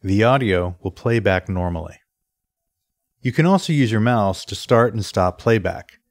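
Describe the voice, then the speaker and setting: A man presenting an instructional software screencast in a methodical, step-by-step way. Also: lowish voice, animated, moderate pace, dry recording, clean studio sound. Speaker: tutorial presenter.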